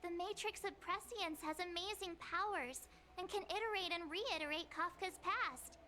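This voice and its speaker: A woman's voice: very high voice, moderate pace, sharp tone, a little hiss or hum. A woman voicing a small, chipper, plucky young female companion in a video game. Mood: curious, eager.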